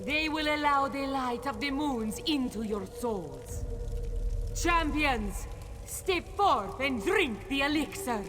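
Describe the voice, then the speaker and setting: A woman voicing a solemn cat-folk priestess leading sacred rites in a fantasy video game, with measured ceremonial delivery. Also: sits high, animated, background noise. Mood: ceremonial proclaiming.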